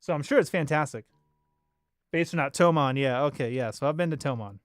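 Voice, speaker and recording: A man with an American accent chats off the cuff as a livestream host. His voice sits a little high and is very clean and smooth, recorded close and dry. He rambles informally and jokes along with his viewers. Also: brisk, dry recording, faint music behind. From Los Angeles, United States. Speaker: bass player and livestream host. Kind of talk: casual livestream chat.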